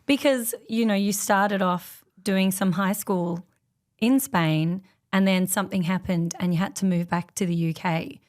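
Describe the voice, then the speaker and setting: A woman hosting a conversation. She asks questions in an encouraging, curious way, and her voice sits at a middle pitch. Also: steady tone, a little slow. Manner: inquisitive, encouraging interviewer. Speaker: host. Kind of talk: interview host.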